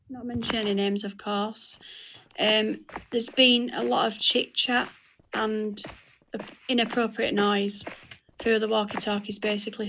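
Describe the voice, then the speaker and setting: A woman's voice: fairly high pitch, a bit bright, rough recording. A woman explaining and instructing in casual talk, leading a meeting in an online game voice chat. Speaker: senior EMS player leading a game meeting. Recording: faint background noise with clicks.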